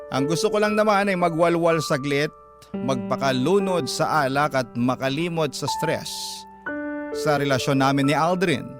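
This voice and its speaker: A man narrating a scripted love story for radio, told as a dramatic, confessional first-person account. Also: a little high. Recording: piano music behind voice. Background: piano music.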